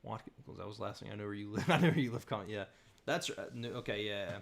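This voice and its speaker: A man's voice: on the low side, lively intonation, fast, vocal fry, fairly bright tone. A man from the southern United States hosting a casual livestream Q&A, chatty and rambling, laughing often. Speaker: host.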